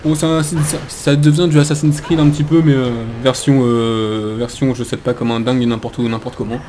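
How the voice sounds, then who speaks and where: A man's voice: medium pitch, level tone, normal speed, warm tone, slight background noise. A man hosting a gaming video with casual let's play commentary, chatty and hesitant as he thinks aloud.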